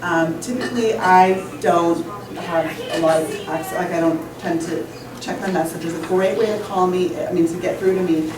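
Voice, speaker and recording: A woman teacher from Indonesia talks to parents in a loose, informal way, with a lowish voice. She is recorded from across an echoey classroom, and a steady background hiss sits under her words. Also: moderate pace, distant mic. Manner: informal, with fillers. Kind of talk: addressing parents at a school open evening.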